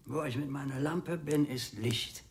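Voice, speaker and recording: An actor in a radio play voicing a proud, self-important manservant who muses with weighty deliberation. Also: male voice, mid-range voice, a little slow, very noisy, close to an everyday voice. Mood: solemn, smug.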